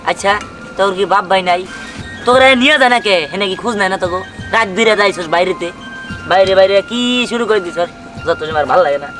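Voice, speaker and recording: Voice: medium pitch, quick pace, fairly bright tone, close to an everyday voice, poor audio. An actor voicing an exasperated young shopkeeper in a comedy cartoon, scolding and grumbling with a Bangladeshi accent. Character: exasperated young man running a snack shop. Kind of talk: colloquial Bengali comedy cartoon dialogue. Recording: music behind the voice.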